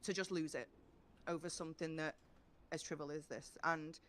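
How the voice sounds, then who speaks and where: A woman's voice: sits low, steady tone, moderate pace, natural voice. A proud, hardworking business manager in an online role-play game as acted out by a woman. She is earnest and courteous. She stands her ground insistently while staying polite. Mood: passionate, defensive. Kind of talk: improvised in-character role-play conversation.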